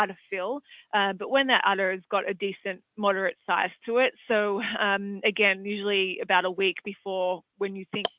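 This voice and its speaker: Australian male equine vet, high-pitched, hesitant and rambly, dry phone-line audio. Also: no echo. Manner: rambling, hesitant, many fillers. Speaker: equine veterinarian. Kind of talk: expert answering audience questions.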